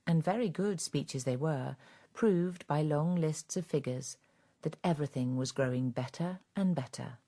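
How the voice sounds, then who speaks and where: A woman narrating a radio drama as a grave, measured storyteller of a farm fable. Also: low voice, warm tone.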